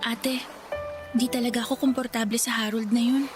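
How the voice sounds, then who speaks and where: A woman's voice: fairly high pitch, steady tone, normal speed, over loud music, natural voice. A woman playing an anxious teenage younger sister in a Tagalog audio drama. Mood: uneasy, confiding. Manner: hesitant, confiding.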